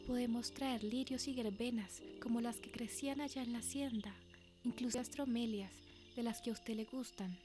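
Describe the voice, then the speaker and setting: A woman's voice: fairly high pitch, steady tone, moderate pace, over music, lightly acted. A woman playing an anxious, commanding lady of the house with an emphatic manner in a radio drama.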